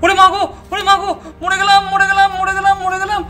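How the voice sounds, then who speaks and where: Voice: very high voice, completely flat delivery, quick pace, soft background music. A performer, a woman, voicing a male thief in a doll story, a blustering bully who wails and pleads. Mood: panicked wailing. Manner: threatening, loud wailing and pleading.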